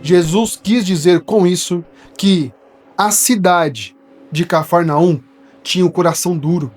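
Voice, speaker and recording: A man's voice: high-pitched, unhurried. A man from São Paulo with a paulistano accent, explaining a Bible verse in a studio in a conversational teaching style that keeps checking in with his listeners. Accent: São Paulo Portuguese. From São Paulo, Brazil. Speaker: preacher.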